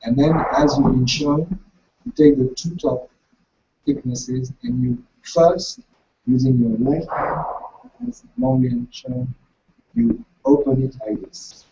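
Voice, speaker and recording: A man with a big, gravelly voice leads a practice session and walks his listeners through each step of a ritual. His mellow tone rises and falls a lot as he speaks. The recording sounds dull and lo-fi. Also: medium pitch, slow pace. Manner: lively, switching between French and English, laughing as he explains. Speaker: meditation teacher.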